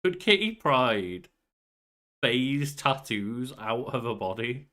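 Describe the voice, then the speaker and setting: A man's voice: medium pitch, big pitch swings, slow pace, pushed and bright. A man, around his thirties, musing and rambling casually as a podcast co-host. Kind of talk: casual banter.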